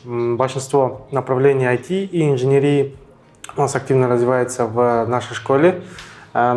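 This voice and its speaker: A man with a mid-pitched voice gives a formal presentation as a university official. His phrasing halts now and then as he looks for the next words. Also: a little mellow. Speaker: university deputy dean.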